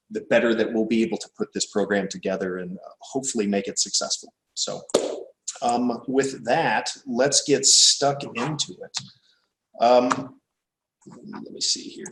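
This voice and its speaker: A man in his thirties with an American Midwestern accent, giving a relaxed, informal academic seminar talk. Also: mid-range voice, measured pace, slight background noise. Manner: relaxed, informal, thinking aloud. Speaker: guest lecturer. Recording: echoey room, distant mic.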